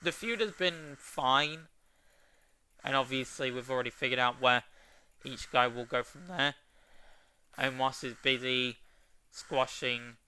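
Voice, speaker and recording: Man, very slow talker, sharp bright tone, smooth clear voice, casual review. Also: medium pitch. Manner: unhurried, drawn-out opinions with trailing fillers. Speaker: wrestling show reviewer.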